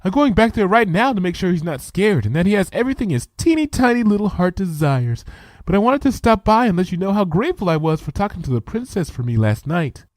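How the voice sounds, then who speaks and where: A man voicing a timid, gentle young female pony in a soft, meek voice for a storybook reading. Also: sits high, very animated, fast talker, no echo, voice pushed up. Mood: shy, sweet.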